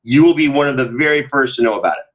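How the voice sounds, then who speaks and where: A man chatting casually as a podcast guest, telling animated stories and acting out the exchanges he quotes. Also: mid-range voice, rapid-fire, dull audio. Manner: banters, acts out quoted exchanges. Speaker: podcast guest, show co-host and audio drama producer.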